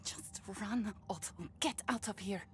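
A woman playing a worried, protective captive mother in a video game, hushed and pleading. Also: medium pitch, a little slow, natural voice. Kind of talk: video game cutscene acting. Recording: music behind the voice.

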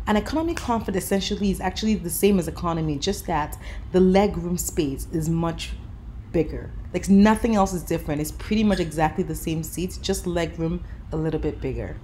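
A woman's voice: on the low side, fairly quick, dark tone, a little hiss or hum. Chatty upbeat woman vlogging. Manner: chatty, upbeat, lots of fillers like "you know". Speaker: travel vlogger. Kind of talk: casual vlog chat and voice-over review. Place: airport lounge, indoors. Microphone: camera held close at arm's length.

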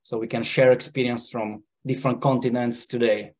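A man with an Italian accent hosts an online webinar in a relaxed way, pausing often with "uh" fillers. He speaks over a video call from a home office. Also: medium pitch. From Italy. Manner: relaxed, hesitant, with frequent "uh" fillers. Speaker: webinar host.

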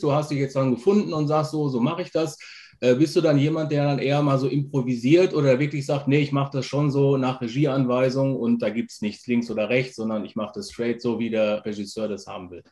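A man's voice: medium pitch, fairly even intonation, fairly quick, a little mellow. A man in his thirties hosting an interview, asking questions in a casual conversational style. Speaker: interview host. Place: home room with shelves, indoors, video call.

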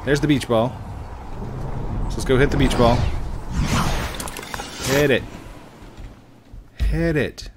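A man's voice: medium pitch, steady tone, takes it slowly, pauses often, very noisy. A man in his late thirties, rambling and joking at himself while commenting on his gameplay into a headset mic. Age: 30s. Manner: rambling, self-mocking, chatty. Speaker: gaming YouTuber. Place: home room at a desk.

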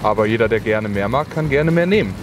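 Young adult male outdoor vlogger, relaxed chatty delivery, camera mic outdoors with clear wind noise. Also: lowish voice, quick pace. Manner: relaxed, chatty, many "ja" fillers. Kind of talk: casual cooking vlog narration. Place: outdoors in a windy wood in rain. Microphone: camera mic at arm's length. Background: wind and rain.

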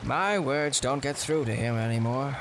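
An amateur fan dubber playing a calm, cryptic young man who quietly advises a girl in an anime dub, speaking in a measured, matter-of-fact way. His tone stays level and unhurried. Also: medium pitch, lightly acted. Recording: noisy home recording.

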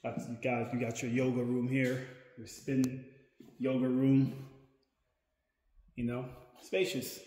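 A man's voice: medium pitch, completely flat delivery, slow pace, long pauses, dark tone, a little hiss or hum. A man in his thirties chatting casually to a handheld camera while walking around a large indoor gym, rambling and repeating himself. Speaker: fitness vlogger. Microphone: handheld camera at arm's length. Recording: echoey hall with long reverb.

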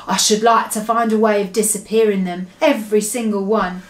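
A woman voicing a gentle, kindly male giant from a storybook, earnest and innocent, with mangled grammar. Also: mid-range voice, steady tone, brisk, roomy sound, lightly acted. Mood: tender, earnest.

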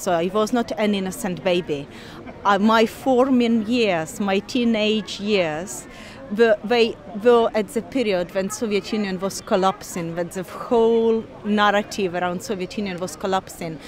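A woman in her forties, originally from Ukraine, speaks English with a Ukrainian accent in an outdoor park interview, earnest and measured with frequent pauses. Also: medium pitch. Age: 40s. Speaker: interviewee, scientist. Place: park outdoors, people milling behind.

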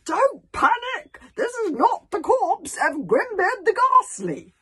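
A woman's voice: fairly low pitch, wildly swooping pitch, measured pace, vocal fry, fairly bright tone, slightly rough, exaggerated voice. A woman voicing an exasperated old Viking man in a children's storybook. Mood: yelling. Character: crabby old Viking man, exasperated, bellowing over panic.

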